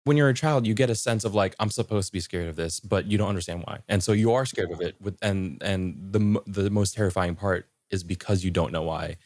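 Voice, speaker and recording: Man, lowish voice, casual folklore explainer, halting and rephrasing, dry close-miked podcast sound. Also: darkish tone, no echo.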